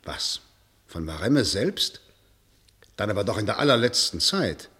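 Doubting official, animated intonation, formal, probing, incredulous, old radio play. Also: male voice, on the low side, a little slow, heavily acted. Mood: probing, disbelieving. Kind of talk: 1960 German radio drama acting.

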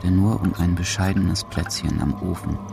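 A man's voice: deep voice, steady tone, moderate pace, gravelly, background noise. A man narrating a radio drama in a literary, dramatic style.

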